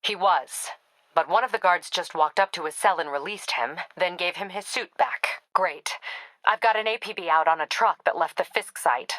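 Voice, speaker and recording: An actress playing a tough female police captain from a video game. Her voice is on the low side, bright and forceful, recorded close and dry. She talks in clipped, brisk phrases with a wry, sardonic edge. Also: no echo, lightly acted, low-quality recording. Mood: businesslike, dry.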